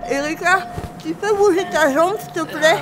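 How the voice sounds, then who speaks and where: A woman acting as a lively, teasing member of a group outing in a comedy audio drama. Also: extremely high pitch, normal speed, music in the background. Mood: cheerful.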